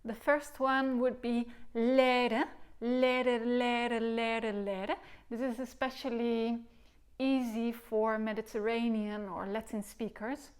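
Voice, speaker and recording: A woman's voice: on the high side, flat delivery, clear, resonant tone. Dutch woman teaching recorder technique. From Netherlands. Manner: demonstrates syllables by singing and speaking them slowly. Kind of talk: instructional video lesson. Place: living room, seated on a sofa.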